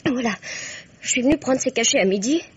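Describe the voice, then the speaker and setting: An actress playing an anguished, headstrong twenty-year-old daughter in a melodramatic, breathless, stammering 1950s radio mystery style. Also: female voice, a little high, animated, brisk, slightly rough. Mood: urgent, suspicious. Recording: dull vintage radio drama recording.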